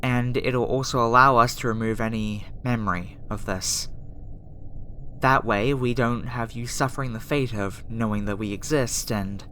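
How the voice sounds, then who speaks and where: A woman voicing a lonely, tender alien girl scientist in an ASMR roleplay, hesitant, halting and apologetic. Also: a bass voice. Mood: regretful. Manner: hesitant, soft-hearted, halting. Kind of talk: ASMR roleplay audio drama. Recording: clear background noise, ambience.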